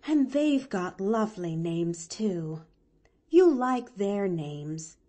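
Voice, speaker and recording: A woman's voice: medium pitch, very animated, slow pace, warm tone. A woman voicing a plain-spoken, good-hearted but grumbling young servant with blunt rustic phrasing in an audiobook. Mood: wistful, grumbling. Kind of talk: expressive audiobook character reading.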